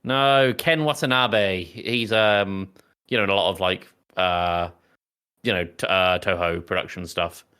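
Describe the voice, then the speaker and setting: A man's voice: sits low, steady tone, a little slow, sharp tone, clear, resonant tone. A man co-hosting a podcast, chatting casually in a nerdy, explanatory way. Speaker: podcast co-host. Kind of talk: casual chat.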